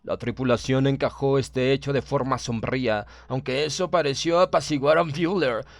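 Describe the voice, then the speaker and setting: A man reading a horror story as a dramatic audiobook narrator, in the role of a stern German submarine officer, with measured, theatrical delivery. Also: mid-range voice, steady tone, no echo. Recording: narration over clear background music. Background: music.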